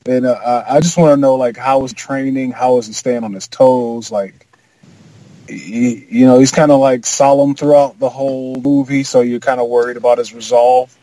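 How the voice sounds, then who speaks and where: A man in casual chat as a podcast panelist, speaking in a halting, slangy, informal way. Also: mid-range voice, steady tone, mellow, no room sound, poor audio.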